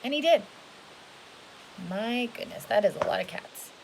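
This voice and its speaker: A woman's voice: on the high side, expressive, measured pace, gravelly. A woman reading a children's picture book aloud expressively, stretching key words and adding casual asides. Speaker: storybook reader. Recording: hissy home recording with background noise.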